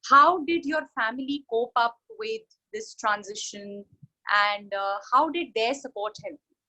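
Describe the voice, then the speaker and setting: Higher-voiced woman host. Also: animated, a little slow, pushed and bright, low-quality recording. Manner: long winding questions, rephrases as she goes. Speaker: interview host, happiness coach. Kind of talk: casual interview questions.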